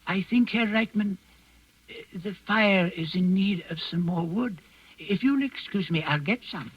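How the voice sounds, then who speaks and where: Husky, high-voiced old manservant. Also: male voice, measured pace. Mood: uneasy, guarded. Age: 60s or older. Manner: deferential, hesitant, evasive. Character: elderly, guarded Danish castle manservant, deferential yet dryly defiant. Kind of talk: 1940s radio drama acting. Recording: dull vintage radio broadcast with music.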